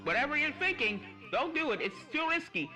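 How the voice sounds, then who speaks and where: A man's voice: very high-pitched, sing-song, a little fast, full character voice. A man voicing an anxious, cautious cartoon pony companion in a fan story, urgent and pleading. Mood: panicked. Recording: loud game music under the voice.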